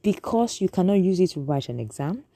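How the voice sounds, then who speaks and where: A woman's voice: lowish voice, very animated, a little fast, dark tone, no echo. A Nigerian woman giving an earnest, lecturing opinion monologue to an audience, often restarting her sentences.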